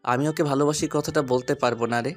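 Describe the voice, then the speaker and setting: A man plays a shy, lovesick college student telling a romantic audio story. His pitch is average for a man. Faint music plays behind him. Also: steady tone, fast talker, natural voice. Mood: hesitant, dejected. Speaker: narrator and lead actor. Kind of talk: first-person romantic audio story narration. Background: background music.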